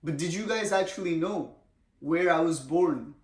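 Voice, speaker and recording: A man's voice: fairly high pitch, normal speed. A young man from India, chatting casually in a storytime vlog and saying bro and man a lot. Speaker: vlogger. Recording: echoey room.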